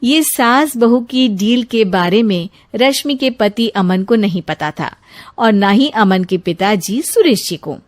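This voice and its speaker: A woman's voice: mid-range voice, lively intonation, measured pace. A woman reading scripted narration for a moral story as its narrator.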